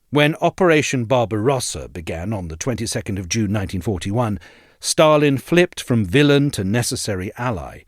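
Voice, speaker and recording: A man narrates an essay in a low voice with a very animated melodic range. His delivery is articulate and measured, clearly read from a script. The sound is dry, with hardly any room to it. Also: no echo.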